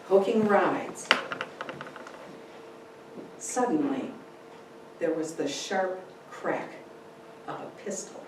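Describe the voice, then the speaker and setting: A woman giving a dramatic reading of a memoir, telling it in a deliberate, theatrical way with pauses for effect. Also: medium pitch. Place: large echoey indoor room. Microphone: distant camera microphone. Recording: very echoey room, distant, faint noise.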